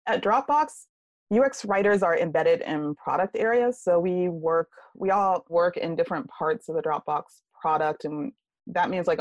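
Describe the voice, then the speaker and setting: A woman guest on a podcast interview talking about her career in a relaxed way, with many fillers. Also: low-pitched, dry recording, dull audio. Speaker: guest, UX writer. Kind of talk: casual interview.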